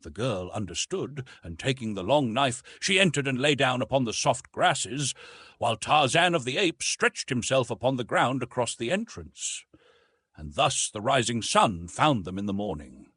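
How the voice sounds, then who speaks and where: A man narrates a classic adventure story in a measured, literary way. His pitch moves widely and expressively through each sentence, and his voice has a husky edge. The sound is dry and close. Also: big pitch swings, fairly bright tone, no room sound. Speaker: audiobook narrator.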